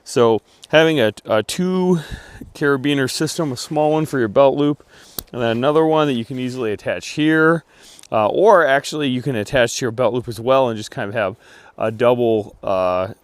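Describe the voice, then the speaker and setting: A man's voice: mid-range voice, normal speed, smooth, no echo. Casual male gear reviewer, close dry sound. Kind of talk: casual outdoor product review.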